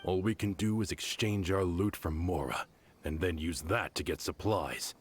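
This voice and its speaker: A male actor plays a hardened mercenary in a video game. His voice is deep, and he speaks with bitter, earnest loyalty and firm emphasis. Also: moderate pace, a little hiss or hum, lightly acted. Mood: determined.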